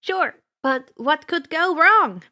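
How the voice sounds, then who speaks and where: A woman's voice: very high voice, lively intonation, slow, pushed and bright, voice pushed up, exaggerated voice. A woman voicing a nervous, loyal young male satyr in a fantasy story, eager and anxious. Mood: anxiously eager.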